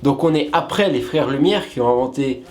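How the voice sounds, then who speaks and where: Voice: mid-range voice, brisk, a little mellow. A co-host of a web show explaining things casually, with playful asides to the viewers.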